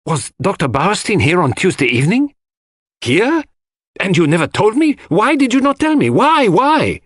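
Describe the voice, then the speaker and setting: A man voicing a fussy, excitable, vain foreign detective who speaks emphatically in an audiobook mystery. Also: sits high, moderate pace, dry recording, studio quality, pitched above the performer's own voice. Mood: frantic. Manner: emphatic, repeats words, French phrases sprinkled in.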